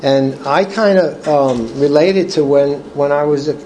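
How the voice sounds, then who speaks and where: An older man leading a recovery study group, reading from the book and sharing personal testimony in a halting, earnest way with many restarts. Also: on the high side, warm tone, a little hiss or hum. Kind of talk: casual talk reading from a book.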